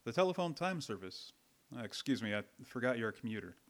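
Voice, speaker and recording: An actor playing an easygoing, teasing office colleague in an old-time radio drama, with a casual, offhand manner. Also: male voice, mid-range voice, big pitch swings, fast, close to an everyday voice.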